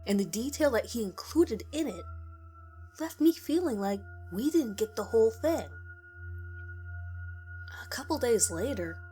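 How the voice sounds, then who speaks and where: A woman narrates a scripted story in the first person, reading it aloud as the storyteller. Her voice sits at an average pitch for a woman.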